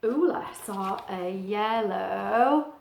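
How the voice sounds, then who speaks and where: A woman reading a picture book to young children like a teacher, expressive and singsong, with chatty asides and little questions. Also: mid-range voice, drawn-out words, vocal fry, echoey room, slight background noise.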